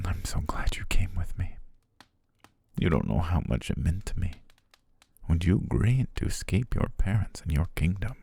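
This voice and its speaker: Male actor as devoted butler captor, very low gravelly voice, breathy near-whisper, coaxing, softly menacing, ASMR roleplay. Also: exaggerated voice. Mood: fond grateful. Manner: tender, measured, coaxing, lingering pauses. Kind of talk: intimate ASMR roleplay, slow whispery romance acting. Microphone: close, dry studio microphone.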